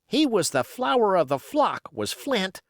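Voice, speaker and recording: A man's voice: sits high, very animated, unhurried, dry recording, clean studio sound, pitched above the performer's own voice, exaggerated voice. A man playing a naive young seaman, eager and impressionable, in an adventure storybook. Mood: admiring. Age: young adult. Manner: eager, wide-eyed, impressionable.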